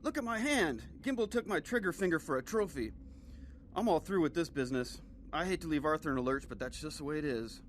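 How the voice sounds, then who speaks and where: Man acting a rattled, higher-pitched slangy detective. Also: fairly quick, slight background noise, close to an everyday voice. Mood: shaken, weary. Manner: excitable, slangy, pleading street talk. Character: panicky, slangy captive male private investigator.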